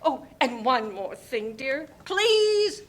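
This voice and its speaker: A woman playing an emphatic, chatty, teasing neighbour in a play reading, with drawn-out theatrical emphasis. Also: high voice, very animated, a bit bright, a little hiss or hum, exaggerated voice. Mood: teasing, friendly.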